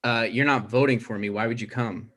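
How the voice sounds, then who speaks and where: A man playing a dry, hesitant young political candidate in a comedy table read, given to deadpan asides. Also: medium pitch, fairly quick, close to an everyday voice. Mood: wry.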